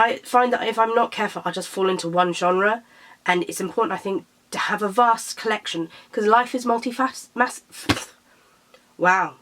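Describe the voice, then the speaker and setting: A young woman from Britain, a little croaky after being sick, chatting casually to camera about books and tripping over her words. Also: lowish voice, fairly bright tone, a little hiss or hum, rough recording. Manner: chatty, self-correcting, stumbles over titles. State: slightly croaky from illness. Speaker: book vlogger.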